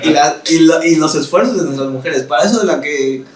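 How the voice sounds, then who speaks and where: A man's voice: fairly high pitch, a little fast. A young man from Mexico City with a chilango accent, chatting as a podcast guest and telling jokes in a rambling way that trips over words. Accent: Mexico City Spanish. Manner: jokey, laughing, stumbles over words. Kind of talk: casual chat. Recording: echoey room, distant from mic, faint hiss.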